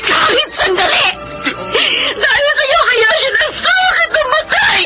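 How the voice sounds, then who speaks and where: Filipina older sister, grieving and furious, wild swoops in pitch, radio drama. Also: female voice, animated, a little slow, raised voice, music in the background, rough recording. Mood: furious, wailing. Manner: tearful, bitter, accusing. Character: grieving, furious elder sister of a wayward young man.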